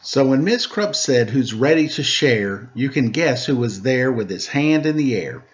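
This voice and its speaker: A man reading a rhyming children's storybook aloud in an animated, theatrical way. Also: medium pitch, moderate pace. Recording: dull lo-fi sound.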